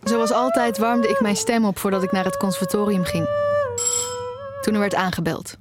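A woman's voice: medium pitch, fairly even intonation, moderate pace, close to an everyday voice. An actress playing a stubbornly independent, nearly blind music student in her twenties in an audio drama. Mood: reflective, worried. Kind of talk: audio drama dialogue and inner narration.

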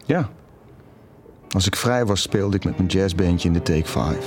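Remorseful middle-aged father, ex-military, low register, halting delivery, audio drama with music behind. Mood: reminiscing. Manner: halting, searching for words. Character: ex-military father, awkward, remorseful, confessing a secret. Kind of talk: audio drama monologue and dialogue.

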